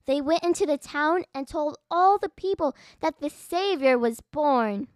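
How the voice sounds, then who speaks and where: A woman's voice: high voice, animated, measured pace, dry recording. A female podcast host narrating and discussing a Bible story for children.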